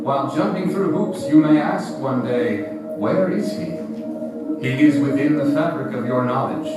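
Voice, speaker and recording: A man's voice: medium pitch, lively intonation, normal speed, far from the mic, natural voice. Man acting a portentous narrator in echoey theater. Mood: solemn. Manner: portentous, declamatory. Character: solemn, cryptic circus narrator. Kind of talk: poetic theatrical monologue. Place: theater stage. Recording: echoey theater from afar, loud music.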